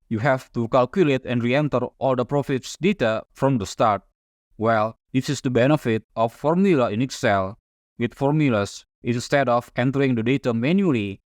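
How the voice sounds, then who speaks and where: A man whose first language is Indonesian, calmly narrating a step-by-step software tutorial over a screen recording. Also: medium pitch, dry recording, clean studio sound. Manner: calm, methodical step-by-step explaining.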